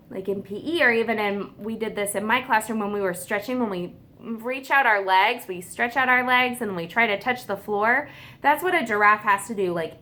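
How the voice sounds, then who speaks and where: A woman teacher reading a nonfiction book aloud to children, stressing key words and pausing for simple questions and explanations. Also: mid-range voice, pushed and bright.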